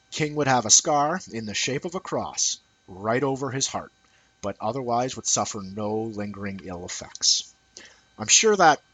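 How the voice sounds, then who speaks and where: A man's voice: mid-range voice, a bit bright, husky, no echo, poor audio. A man reading a scripted essay aloud as narration. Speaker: amateur reader of an essay.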